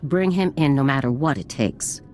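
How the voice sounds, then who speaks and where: An actress playing a stern female military general who gives brisk, authoritative orders. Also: a bass voice, animated, a little mellow, faint music behind. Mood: commanding. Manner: brisk, authoritative, scornful of bureaucrats.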